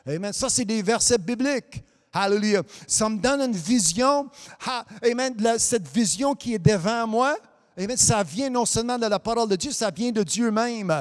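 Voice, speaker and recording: High-voiced man preaching amid long reverb. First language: English. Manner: peppers lines with amen and hallelujah, halting restarts, sometimes sing-song. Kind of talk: sermon. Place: church hall.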